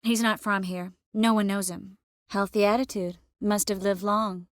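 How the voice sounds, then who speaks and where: Casting: actress for young game sorceress, medium register, wry and self-assured with soft intimate murmurs, very dry recording. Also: female voice, mid-range voice, fairly even intonation, moderate pace, dry recording, natural voice. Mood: conversational, composed. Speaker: voice actor in a video game. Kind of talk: scripted game character dialogue. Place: close studio recording.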